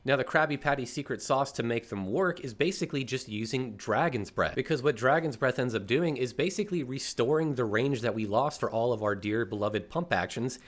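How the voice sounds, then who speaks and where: A congested man hosting a gaming channel, explaining weapon stats in upbeat, chatty commentary. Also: medium pitch. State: congested, clearing his throat. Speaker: gaming YouTuber host. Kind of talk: casual gameplay commentary.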